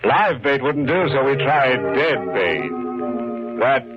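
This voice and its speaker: Ghoulish male horror host, macabre and tongue-in-cheek, unhurried, muffled vintage radio sound. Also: medium pitch, very animated. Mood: ghoulishly jovial. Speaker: host of a mystery anthology. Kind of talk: 1940s radio horror hosting. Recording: muffled old radio broadcast, loud music.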